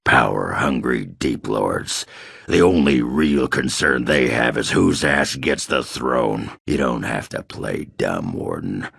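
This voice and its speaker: Male fantasy game dwarf: boozy braggart warrior, rock-bottom pitch, husky rasp, crude swagger. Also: expressive, takes it slowly, a bit bright, dry recording, clean studio sound, full character voice. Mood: surly. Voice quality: very gravelly, rough, husky. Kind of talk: video game character acting.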